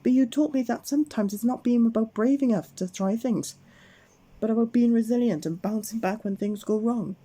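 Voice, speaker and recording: Casting: brave, earnest storybook heroine, eager and encouraging, pitched high played by a bedtime-story reader. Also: moderate pace, warm tone, natural voice. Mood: grateful, earnest.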